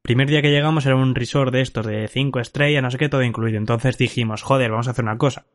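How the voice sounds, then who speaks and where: Guy rattling off a funny story, talks quick, laughs a lot. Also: mid-range voice. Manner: laughs often, lively anecdote telling with swearing. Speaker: podcast host. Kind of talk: casual chat, storytelling.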